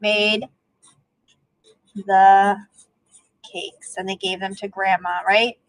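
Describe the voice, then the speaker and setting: A woman's voice: medium pitch, flat delivery, slow, no room sound, poor audio. A woman, an elementary teacher leading a read-aloud lesson for young children, asking questions and prompting as she goes.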